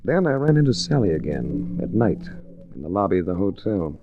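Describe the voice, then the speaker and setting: An actor playing a cool, persistent investigator in a 1940s radio drama, with a wry, probing, clipped manner. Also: male voice, deep voice, very animated, a little fast, narrow, tinny audio, faint music behind. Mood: cool, narrating. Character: cool, persistent hard-boiled male investigator narrating his own adventure. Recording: noisy restored 1940s radio recording.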